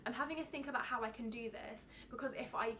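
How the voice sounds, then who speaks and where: A woman's voice: fairly high pitch, quick pace, pushed and bright, a little hiss or hum. A young woman with a cold, a slightly stuffy nose, chatting casually and ramblingly about knitting as a podcast host. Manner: chatty and rambling, many ums, gushing. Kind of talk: casual chat to camera.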